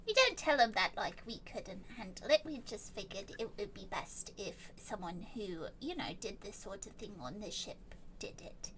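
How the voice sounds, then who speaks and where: A woman voicing a polite, cautious young man adventurer in a tabletop game, speaking in a hushed, diplomatic way. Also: medium pitch, big pitch swings, moderate pace, few pauses, a little hiss or hum, voice pushed down, rough recording.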